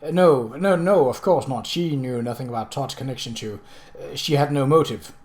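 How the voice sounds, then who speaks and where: A man's voice: mid-range voice, normal speed, a little mellow, close to an everyday voice. Guy doing an earnest anxious cop in an audiobook, blunt, stresses his words. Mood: flustered. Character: earnest, anxious male police constable.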